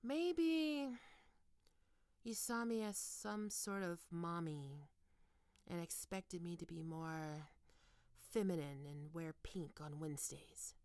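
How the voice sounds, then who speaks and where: A woman playing a stern, teasing goth college professor in an ASMR roleplay, speaking deliberately and condescendingly into a close microphone. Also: lowish voice, expressive, darkish tone, clean tone, no room sound. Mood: probing, taunting. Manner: condescending, taunting, deliberate with pauses. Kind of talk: ASMR roleplay, scripted monologue to listener.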